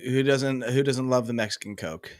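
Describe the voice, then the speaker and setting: A man's voice: mid-range voice, fairly even intonation, moderate pace, dry recording, studio quality. A young man with a head cold, rambling casually as co-host of a sports podcast, full of fillers and self-deprecating asides.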